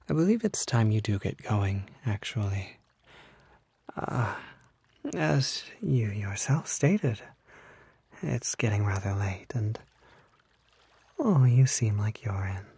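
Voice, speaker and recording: A man playing a smooth-talking, unsettling kelpie in an ASMR roleplay, breathy and half-whispered, with halting, coaxing, genteel speech. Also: lowish voice, warm tone, no room sound, exaggerated voice. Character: unsettling smooth-talking male kelpie, charming, needy, secretly predatory.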